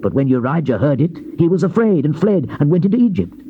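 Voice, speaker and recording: A man narrating a dramatized Bible reading in a solemn, measured, emphatic oratorical delivery. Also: fairly high pitch, big pitch swings. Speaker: scripture narrator. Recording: dull noisy old cassette tape.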